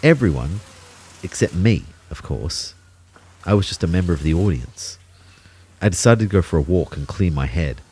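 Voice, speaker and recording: A man's voice: low voice, very animated, normal speed, long pauses, warm tone, slightly rough, full character voice. An Australian actor playing Kyle, an anxious, self-doubting man telling his own story in an audio drama. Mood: anxious, reflective. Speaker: actor narrating in character. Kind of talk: first-person audio drama narration.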